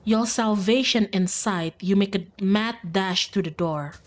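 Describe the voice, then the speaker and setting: An ominous storyteller narrates a horror visual novel, read by a woman streamer. The voice is mid-pitched and hushed, sinking softer at the ends of phrases. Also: measured pace, close to an everyday voice. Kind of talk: scripted narration.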